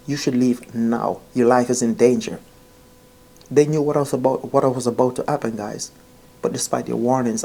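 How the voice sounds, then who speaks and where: A man with a dark, mellow voice at a middle pitch. He talks informally to his viewers like a storyteller, earnest and emphatic. He repeats phrases for weight and often speaks straight to the listener. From Jamaica. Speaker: vlogger.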